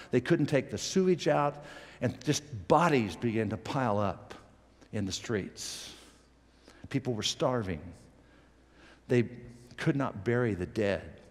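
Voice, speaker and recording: A middle-aged man delivers a sermon from a church stage, gesturing broadly as his voice climbs to loud, emphatic peaks and then drops into quiet asides. His pitch sits in an ordinary middle range. A headset mic picks him up, and a long hall reverb trails behind each line. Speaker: pastor.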